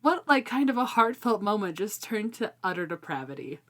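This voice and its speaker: A woman co-hosting a casual book discussion, retelling things in an animated way and acting out reactions. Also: mid-range voice, a little fast. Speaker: co-host of a book discussion show.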